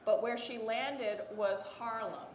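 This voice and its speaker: Panelist, woman, brightish voice, animated storytelling, roomy echo with faint hum. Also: a little high, slow pace. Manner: animated storyteller, repeats words for emphasis.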